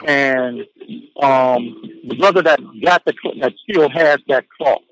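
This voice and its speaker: A man's voice: medium pitch, a little slow, a bit bright, slight background noise. A man being interviewed in a casual phone chat, speaking emphatically in slangy phrases and often repeating himself. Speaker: interview guest. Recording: phone call audio.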